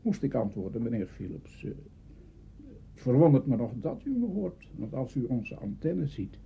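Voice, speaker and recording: A man's voice: mid-range voice, sing-song, moderate pace, creaky, a little hiss or hum. An older man recalling his early days in radio in an interview, hesitant and full of fillers. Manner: hesitant, many fillers, anecdotal. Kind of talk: reminiscing interview. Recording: dull lo-fi archive tape.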